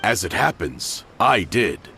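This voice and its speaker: A man's voice: fairly low pitch, very animated, slow, vocal fry, sharp tone, slight background noise, exaggerated voice. A man playing a helpful, matter-of-fact townsman in a video game.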